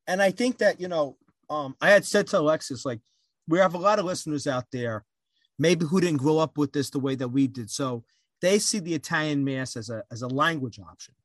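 A man's voice: a little high, a little fast, no echo. A man co-hosting a podcast, casually asking pointed follow-up questions. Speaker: podcast co-host.

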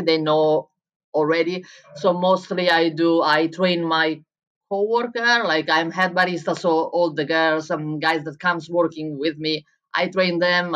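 A woman from Italy with an Italian accent, answering interview questions as a barista and coffee coach, often pausing and restarting as she looks for words. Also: sits low, a bit bright, highs cut off. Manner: searching for words in English, frequent restarts.

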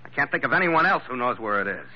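An actor playing a tough, world-weary ship's skipper in a hardboiled radio drama, terse and sardonic. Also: male voice, medium pitch, sing-song, fast talker. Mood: defiant, sardonic. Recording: muffled, noisy old radio recording.

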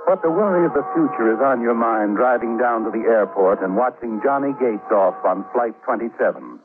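An actor playing a knowing, ominous narrator in old-time radio suspense, speaking straight to the heroine. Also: male voice, medium pitch, fairly quick, close to an everyday voice. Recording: narrow-band vintage radio, loud music behind.

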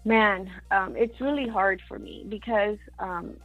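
A woman's voice: mid-range voice, unhurried, dull audio, soft background music. A woman from Mexico, a singer-songwriter, talking casually in an interview, with many fillers and Spanish words mixed in.

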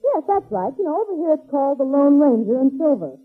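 A chirpy female singing star in an old radio comedy, polite and playfully affected. Her voice is high and very lively, heard through a thin, narrow-band recording. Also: quick pace, faint music behind. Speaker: singer and supporting player. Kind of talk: 1940s radio comedy acting.

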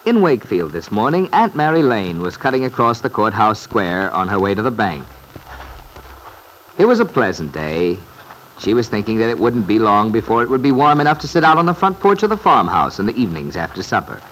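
A man's voice: fairly low pitch, very animated, normal speed, a bit bright. A man narrating a radio serial in an old-time storytelling style. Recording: noisy vintage radio recording.

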